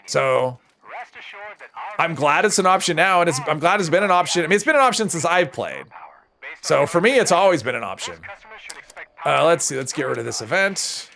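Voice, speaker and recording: A man with a somewhat high voice and a gravelly edge. He chats casually with viewers while playing a game on a live stream, answering their questions as he goes. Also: big pitch swings, normal speed, pauses often, pushed and bright. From United States. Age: older than high school, adult.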